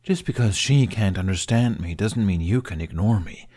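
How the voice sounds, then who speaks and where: A man's voice: fairly low pitch, lively intonation, moderate pace, a little mellow, slightly rough. A man voicing a grumpy, sarcastic, demanding male dragon in an audiobook, with imperious, drily bantering delivery. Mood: indignant. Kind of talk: audiobook character acting.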